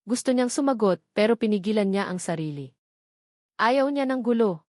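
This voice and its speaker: A woman's voice: mid-range voice, lively intonation, moderate pace, darkish tone, no echo, clean studio sound. A woman storyteller reading a scripted romantic drama with dramatic narration.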